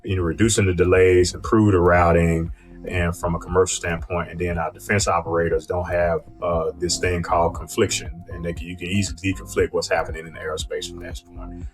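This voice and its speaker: A man with a deep voice talks as a podcast co-host, with faint music behind him. He explains business matters in a loose, conversational way and often tags his points with a checking "right?". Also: little pitch movement, fairly quick.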